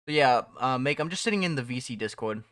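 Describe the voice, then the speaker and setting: A man's voice: medium pitch, expressive, quick pace, a bit bright, dry recording, studio quality. A young man chatting casually on a live stream from home, rambling, laughing often and restarting his sentences. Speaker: streamer and mod developer.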